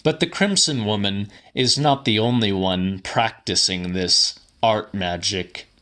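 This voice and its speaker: A man with a lowish, bright and forceful voice reads literary fiction as a narrator. His delivery is very slow and deliberate, with theatrical emphasis throughout his first-person telling. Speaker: audiobook narrator.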